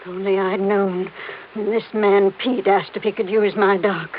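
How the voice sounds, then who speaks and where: A woman's voice: medium pitch, fairly even intonation, normal speed, slight background noise, lightly acted. An actress playing an anxious, earnest older woman held captive in an old-time radio crime drama, pleading in manner. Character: frightened, earnest older female captive, kind-hearted. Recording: tinny vintage radio recording.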